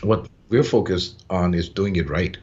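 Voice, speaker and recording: An older man, telling stories unhurriedly as a podcast guest, pausing on "uh" and tagging lines with "yeah?". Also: sits low, a little mellow. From Hawaii. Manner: unhurried, with frequent "uh" pauses and "yeah?" tags. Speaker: guest, farmer and business owner. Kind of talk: relaxed storytelling in conversation. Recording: dull lo-fi sound.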